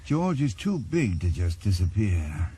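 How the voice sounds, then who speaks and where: An actor playing Morgan, a retired Welsh ex-detective who talks tersely and stubbornly, in a 1980s radio drama. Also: male voice, sing-song, a little slow, mellow. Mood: weary. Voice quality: terse, low-key, stubborn. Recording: radio drama with traffic noise.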